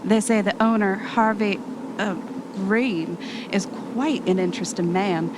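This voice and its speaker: A woman's voice: unhurried, lightly acted. A woman playing an eager, bossy travel podcaster in an amateur audio drama, chatty and ready with an announcer's polish. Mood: polished narrating. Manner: chatty, switches into polished radio voice. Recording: noisy, vehicle rumble behind the voice.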